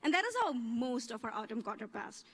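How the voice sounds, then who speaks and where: High-voiced woman, amplified in a hall. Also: very animated, brisk, a bit bright, husky. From Pakistan. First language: Urdu. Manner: earnest with playful humor, careful formal phrasing. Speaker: student speaker. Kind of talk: graduation speech. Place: ceremony hall on stage.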